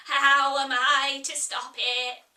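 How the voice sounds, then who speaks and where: A woman's voice: high-pitched, little pitch movement, slow pace, exaggerated voice. A woman voicing a bleating, bossy old sheep. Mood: curt, scolding. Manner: loud, curt, with bleating "meh". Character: grumpy bossy female sheep knitting in a boat. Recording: roomy echo, distant mic.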